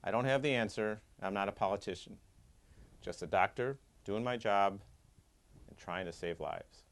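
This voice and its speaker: A middle-aged male surgeon speaks to camera from his office in a fairly low voice. His delivery is earnest and measured, a scripted plea. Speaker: head and neck surgeon. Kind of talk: scripted advocacy monologue. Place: doctor's office with diplomas.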